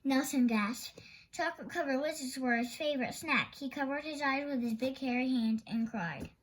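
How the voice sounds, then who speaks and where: A woman's voice: sits high, expressive, moderate pace, low-quality recording. A woman storyteller reading a children's picture book aloud expressively.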